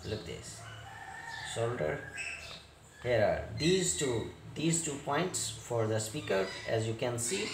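Slow-speaking man in a roomy, noisy recording. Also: medium pitch, lively intonation. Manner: halting, fragmented instructional delivery with non-native English phrasing. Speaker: repair technician, tutorial presenter. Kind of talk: step-by-step hands-on repair tutorial. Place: indoor repair workbench. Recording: roomy echo, clear background noise.

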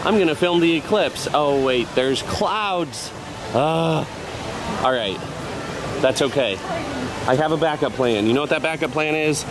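Hoarse, chatty man at a theme park. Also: a little high, a little slow, pauses often, background noise. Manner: chatty, excitable, laughs often. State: hoarse, voice partly lost. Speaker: vlogger. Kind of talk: casual theme park vlog.

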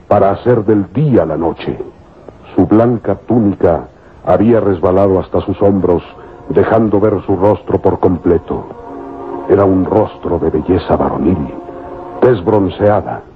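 A low-voiced man narrates a vintage radio serial. His delivery is solemn and theatrical. Loud music plays behind him, and the old recording sounds dull. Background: loud dramatic music.

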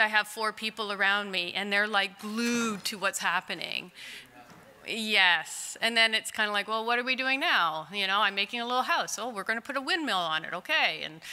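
A woman in her forties, a clay artist, answering audience questions in a chatty, candid way with a loud voice and frequent laughs. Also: medium pitch, clean tone. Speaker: clay artist in long-term care. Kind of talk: conference Q&A answers. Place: conference hall. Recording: distant in a large reverberant hall.

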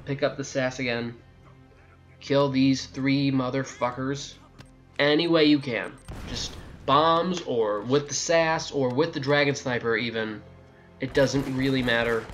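Man, mid-pitched voice, casual game walkthrough host, exasperated griping, swears freely, music behind. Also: fairly bright tone. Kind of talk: casual gameplay commentary.

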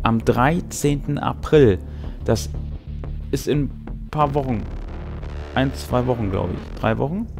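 A man in his forties hosts a tabletop role-playing show, speaking casually to the camera. His voice sits at a middle pitch and his delivery is wry and conversational. Music plays faintly behind him. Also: slow, creaky, long pauses, a little mellow. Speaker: host. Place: small brick-walled game room indoors. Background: background music.

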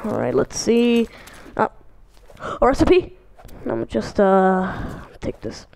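A woman voicing excitable young cartoon characters in a casual fan dub, with slangy, exclamatory delivery. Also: mid-range voice, slow, creaky, no echo, full character voice. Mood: curious, excited.

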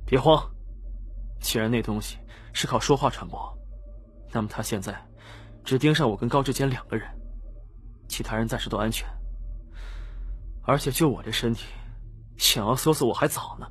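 A man's voice: mid-range voice, moderate pace, pauses often, breathy edge, pitched above the performer's own voice, lightly acted. A man voicing a suspicious, hot-tempered young swordsman in a fantasy audiobook, curt and commanding. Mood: steady, reassuring.

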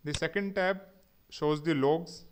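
A man with a somewhat high voice narrates a screen-recorded tech tutorial. He works methodically, step by step, spelling out every command. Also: steady tone, a little slow, clear, resonant tone. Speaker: instructor.

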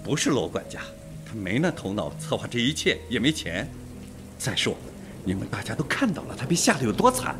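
A man plays a suspicious police detective in a radio mystery. He is excitable and insistent, his mid-pitched voice swinging widely up and down. Faint music sits underneath. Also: fairly quick. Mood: agitated. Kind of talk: Mandarin radio drama acting.

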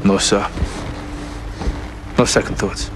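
An actor playing a principled young sergeant in a video game, earnest and clipped. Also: male voice, slow, lightly acted. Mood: uneasy. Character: young male sergeant, principled, questioning his captain. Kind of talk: video game cutscene acting. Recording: music behind the voice.

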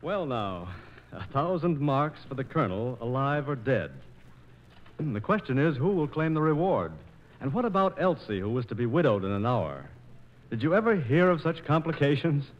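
A man's voice: mid-range voice, big pitch swings, normal speed, highs cut off. An American man narrating an operetta for 1940s radio in a playful, theatrical storytelling manner, with scripted rhetorical questions. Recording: noisy 1940s radio transcription disc.

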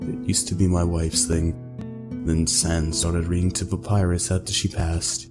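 Man voicing a low, deliberate skeleton father. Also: little pitch movement, loud music behind the voice. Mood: measured, wistful. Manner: measured, formal, deliberate. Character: composed, formal skeleton father, grave and wry. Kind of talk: comic dub character acting.

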